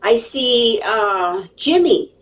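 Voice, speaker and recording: A woman's voice: on the high side, lively intonation, very slow, fairly bright tone, recorded from a distance. A woman telling a story casually to viewers in a chatty, emphatic, digressive way, often checking in with her listeners. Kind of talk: casual, animated storytelling to camera.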